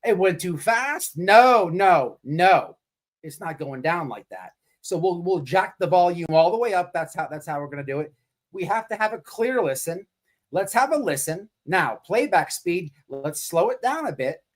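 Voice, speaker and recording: A man delivers casual livestream commentary with very lively, swinging pitch. He mocks his subject, repeats himself for emphasis, rambles and swears freely. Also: moderate pace. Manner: profane, repetitive, rambling.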